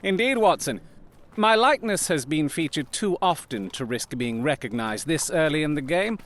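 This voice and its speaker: A man playing a shrewd, commanding gentleman detective in an audio drama, speaking formally and imperiously. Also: a little high, expressive, moderate pace. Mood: brisk, assured.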